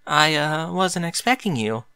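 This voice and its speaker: A man's voice: a little high, expressive, bright, forceful tone, clear, resonant tone, dry recording, voice pushed up, heavily acted. Man putting on a flustered pegasus pony mother. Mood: surprised. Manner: flustered, halting.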